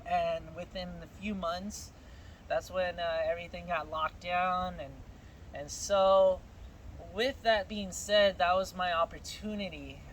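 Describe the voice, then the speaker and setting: A man with a high voice tells his story in a loose, easy way and laughs a lot. He grew up in California, and his first language is Spanish. Street traffic and outdoor noise come through clearly behind him. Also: a little slow, poor audio. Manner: relaxed storytelling, laughs often. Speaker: guest telling his story. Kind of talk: casual chat.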